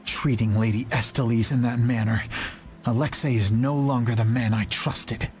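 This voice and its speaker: An actor playing an earnest, dutiful young knight with formal, measured speech in a fantasy video game. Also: male voice, mid-range voice, steady tone, a little mellow, slight background noise, close to an everyday voice. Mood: bitter. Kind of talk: English game dub acting.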